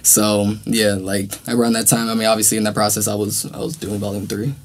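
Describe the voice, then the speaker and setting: A man's voice: lowish voice, monotone, fairly quick, a little hiss or hum. A young man from California, a rapper answering interview questions in a loose, laid-back way with plenty of slang. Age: young adult. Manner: laid-back, loose, swears and laughs often. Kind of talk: casual interview.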